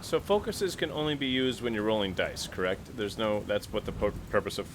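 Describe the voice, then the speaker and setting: A man playing a tabletop roleplaying game, talking casually and haltingly as he thinks aloud. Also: fairly low pitch, background noise.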